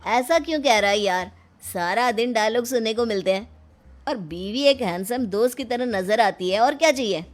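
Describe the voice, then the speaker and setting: A woman's voice: fairly high pitch, animated, moderate pace, natural voice. Woman voicing a teasing, chatty young friend.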